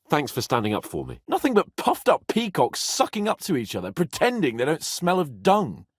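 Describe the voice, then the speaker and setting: An actor playing a proud, hot-headed young medieval nobleman in a dramatic video game, with a haughty and expressive delivery. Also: fairly high pitch, breathy edge, dry recording, clean studio sound, heavily acted. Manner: haughty, expressive, swearing freely.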